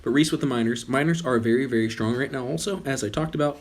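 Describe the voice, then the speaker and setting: A man's voice: medium pitch, fast. A young man hosting his gaming channel, talking casually over gameplay while losing his voice, often stopping to correct himself. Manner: relaxed, rambling with frequent self-corrections. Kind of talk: casual game commentary.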